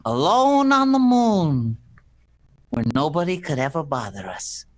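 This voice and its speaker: A man playing a cocky, smooth-talking young romantic in an old-fashioned radio play, read cold. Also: on the high side, sing-song, measured pace, pitched below the performer's own voice.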